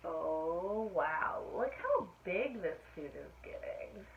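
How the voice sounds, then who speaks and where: Woman doing kids storytime, expressive read-aloud with little asides, kinda muffled audio, bit of background noise. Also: lowish voice, slow pace. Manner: expressive, asks listeners questions. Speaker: storytime reader. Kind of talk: read-aloud of a children's picture book with asides to listeners. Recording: muffled lo-fi sound with faint noise.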